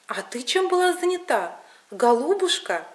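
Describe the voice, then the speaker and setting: A woman's voice: high voice, big pitch swings, moderate pace, pitched above the performer's own voice. A woman voicing a stern but kindly peasant father in a folk tale, with an emphatic, folksy, questioning manner. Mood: probing.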